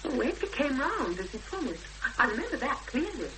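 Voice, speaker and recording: An anxious young woman with a highish, lively voice in a seventies radio drama. She is determined yet hesitant, thinking as she goes. The old broadcast recording is clearly hissy. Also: full character voice, rough recording. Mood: troubled, recounting. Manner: hesitant, thoughtful, with pauses. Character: anxious, determined young woman seeking her missing sister. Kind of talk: 1970s radio drama acting.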